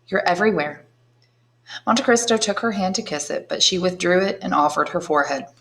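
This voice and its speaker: A woman, an English teacher, reading a classic novel aloud in steady, clear storytelling. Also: lowish voice, fairly quick, a little hiss or hum. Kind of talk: audiobook narration.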